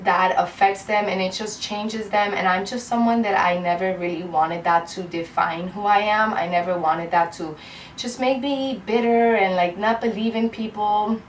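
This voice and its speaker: A woman's voice: mid-range voice, far from the mic. A woman in her twenties from Cuba, chatting candidly to her camera at home in a rambling, heartfelt way. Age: 20s. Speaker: beauty vlogger. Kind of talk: casual chat to camera. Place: room at home. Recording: roomy echo, off-mic, faint hiss.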